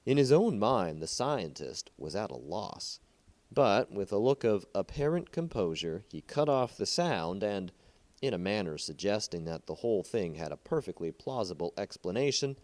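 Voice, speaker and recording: Low, mellow man reading a story. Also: very animated, moderate pace, no room sound. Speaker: amateur story reader. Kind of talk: scripted short story narration.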